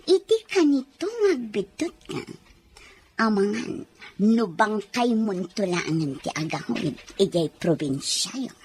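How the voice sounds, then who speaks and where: A woman's voice: mid-range voice, expressive, unhurried, a little mellow, slight background noise, natural voice. A woman playing a defensive, secretive lady of the house in a drama, with a theatrical, insistent delivery. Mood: scheming, cajoling. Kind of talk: Ilocano radio-style drama acting.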